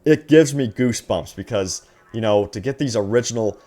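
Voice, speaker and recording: A man's voice: mid-range voice, normal speed. A man hosting a gaming news video, speaking enthusiastically to viewers and reading out announcements. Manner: excited, addresses viewers as guys. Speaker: gaming news channel host.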